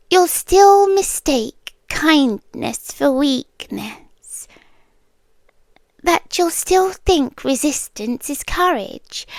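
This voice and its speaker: Woman acting as creepy sweet british yandere girlfriend, asmr, high breathy voice, very slow, whispery, possessive and calmly threatening. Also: no room sound, full character voice. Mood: calm, menacing. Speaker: ASMR roleplay performer. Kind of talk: ASMR roleplay, intimate half-whispered monologue.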